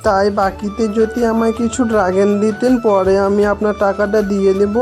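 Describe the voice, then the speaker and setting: A woman voicing a hard-up, apologetic jackal mother in a cartoon, gentle and plaintive. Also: medium pitch, little pitch movement, normal speed, soft background music, close to an everyday voice. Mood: humbly pleading. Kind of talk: cartoon voice acting.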